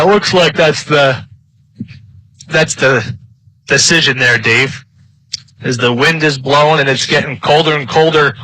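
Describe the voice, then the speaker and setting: A man's voice: medium pitch, sharp tone, no room sound, low-quality recording. A man giving live football analysis as color commentator, emphatic and opinionated.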